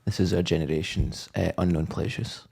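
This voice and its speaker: A man in his thirties with a low voice, co-hosting a casual, comedic chat about music. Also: fairly even intonation, a little fast, gravelly, a little mellow, breathy edge. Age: 30s. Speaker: podcast co-host.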